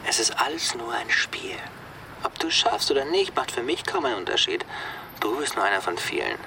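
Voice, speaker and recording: A man's voice: mid-range voice, very animated, moderate pace, sharp tone, a little hiss or hum, heavily acted, poor audio. An actor playing a callous gangster who toys with his underling in a German radio drama, mocking and nonchalantly menacing. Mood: cold, amused.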